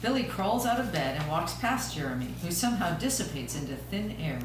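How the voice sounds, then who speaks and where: A woman's voice: fairly low pitch, very animated, fairly quick. A woman reading scripted stage narration at an amateur table read. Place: echoey room, recorded from a distance. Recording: very echoey room, distant mic, clear noise.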